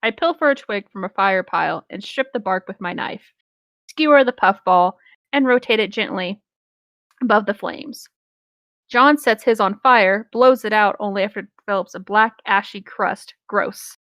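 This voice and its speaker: A woman's voice: medium pitch, normal speed, a bit bright, no room sound. A woman casually reading a first-person story aloud and chatting between lines. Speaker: co-reader of an internet story.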